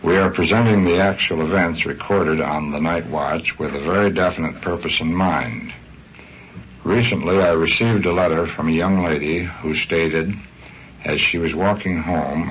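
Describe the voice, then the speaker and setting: A muffled vintage radio recording with some hiss-like noise carries an American police chief. He is a very deep-voiced man reading formally and stiffly from a script. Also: normal speed, fairly bright tone. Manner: formal, stiff official wording. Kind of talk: formal scripted address, read aloud. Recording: muffled, faintly noisy 1950s radio broadcast.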